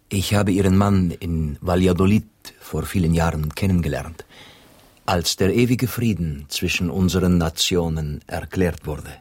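A deep, slow Spanish gentleman. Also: husky, no echo. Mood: courteous. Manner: formal, measured, drops in Spanish phrases. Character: courtly Spanish gentleman, middle-aged or older, dignified and wry. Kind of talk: German radio drama acting.

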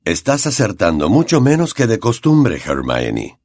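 A man voicing a weary, gentle male teacher who is secretly a werewolf, speaking quietly and calmly. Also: on the low side, a little slow, no echo, clean studio sound, full character voice. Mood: calm pleading. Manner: quiet, calm, soothing.

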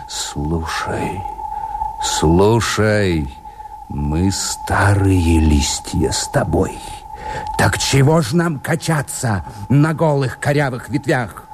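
A man playing a fatalistic, reckless rebel in a radio play, declaiming verse solemnly and emotively over a noisy bed. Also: fairly low pitch. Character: fatalistic, reckless male rebel musing on death.

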